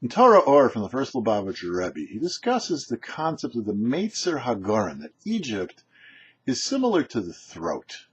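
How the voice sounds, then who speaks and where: A man presenting a short religious teaching as a rabbi, explaining deliberately with emphatic pauses. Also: mid-range voice, wildly swooping pitch, slightly rough. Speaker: rabbi presenting a weekly Torah portion show. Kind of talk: short religious teaching, read to camera or mic. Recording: dull, muffled lo-fi sound.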